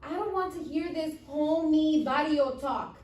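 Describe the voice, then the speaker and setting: A woman's voice: sits high, a little slow, darkish tone. A woman playing a stern, devout immigrant mother who scolds in broken English at a live script reading. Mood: scolding. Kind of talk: live script table read. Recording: roomy distant mic, faint noise.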